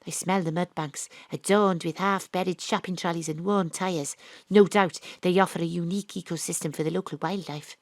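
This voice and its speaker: Deadpan wry woman narrating noir parody. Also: fairly low pitch, moderate pace, no echo. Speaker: narrator and lead actor, private detective. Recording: dry home studio.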